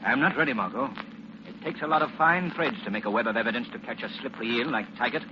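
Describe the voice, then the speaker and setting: An actor playing a determined, polished gentleman sleuth in earnest 1940s radio style. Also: mid-range voice, fast talker, natural voice. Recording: muffled old radio recording, loud hiss.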